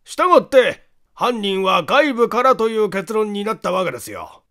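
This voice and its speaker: A man's voice: high-pitched, sing-song, moderate pace, pushed and bright, pitched above the performer's own voice, heavily acted. A man voicing an insistent, practical male police inspector in a mystery audiobook, speaking emphatically. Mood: defensive.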